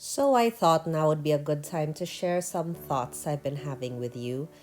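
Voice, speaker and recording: A woman's voice: low voice, normal speed, darkish tone, faint music behind. A woman vlogger talking through a one-take voiceover in a chatty, earnest way, sounding a bit nasal from an allergy attack. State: stuffy nose from allergies. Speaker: vlogger and painter. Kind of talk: casual voiceover narration.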